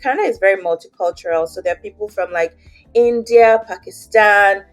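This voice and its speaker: A woman's voice: mid-range voice, a little fast, soft background music. A young woman with a Nigerian accent, telling her story as an interview guest in a chatty way, with many fillers. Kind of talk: casual interview, personal story.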